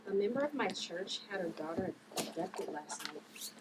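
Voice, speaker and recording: A woman's voice: on the low side, animated, moderate pace, lightly acted. A woman at a table read playing an earnest, principled church-goer who acts as a vigilante. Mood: pleading. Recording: distant mic, noisy room.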